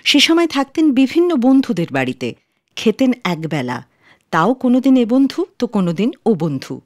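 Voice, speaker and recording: A woman's voice: on the high side, big pitch swings, normal speed, darkish tone, no room sound. A woman hosting a radio show, telling scripted stories and introducing songs in a studio. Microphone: close studio microphone, dry sound. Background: faint music.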